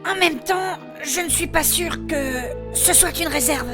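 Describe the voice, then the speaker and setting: Woman acting a high-voiced, sensible fantasy adventuress. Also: unhurried, over music. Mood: explanatory. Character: sensible, exasperated woman keeping fantasy party on task. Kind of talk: comic fantasy audio drama acting.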